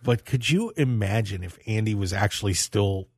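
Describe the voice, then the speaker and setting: An American man hosting a sports talk radio show, telling stories in an animated way with emphatic repetition. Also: lowish voice, moderate pace, no room sound, studio quality.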